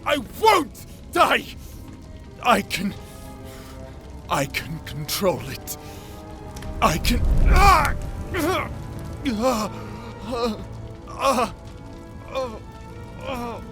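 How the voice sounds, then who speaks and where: A proud, cursed fantasy captor, speaks in a high, very slow voice. He is anguished and imperious in the manner of dark audio drama, swinging widely in pitch. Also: big pitch swings, music in the background, full character voice. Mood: agonized, panicked. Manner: theatrical, anguished, imperious, halting delivery.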